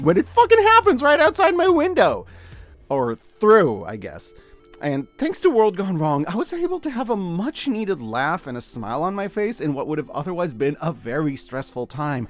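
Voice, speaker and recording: Animated, higher-pitched man reviewing media over music. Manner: casual, wry, expressive delivery. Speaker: host of a media review video. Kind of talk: scripted video essay narration. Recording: background music under the voice. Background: background music.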